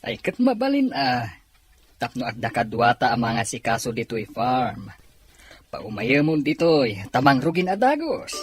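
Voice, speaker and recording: A man's voice: medium pitch, huge pitch swings, unhurried, crowd noise. A man playing a kindly, obliging boss and father in a dramatized audio story. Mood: agreeable.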